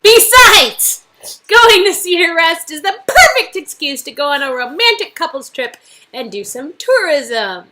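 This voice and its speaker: A woman's voice: extremely high pitch, sing-song, a little slow, very noisy, voice pushed up, exaggerated voice. A woman playing a playful, affectionate young woman in an interactive story game, with a theatrical, teasing manner. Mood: bubbly, teasing.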